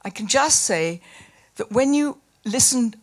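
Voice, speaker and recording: A woman's voice: on the high side, animated, measured pace. A woman in her eighties from Britain gives an impassioned audience comment, stumbling over repeated words. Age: 80s. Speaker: audience member asking a question.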